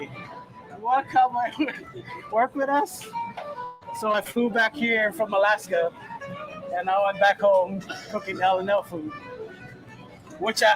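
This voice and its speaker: A man's voice: high-pitched, low-quality recording. A middle-aged man from Samoa, raised in Hawaii, chatting casually and laughing as he cooks at an outdoor event. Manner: relaxed, chatty, laughs easily. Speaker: chef. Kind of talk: casual live cooking demo. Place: outdoor boat show.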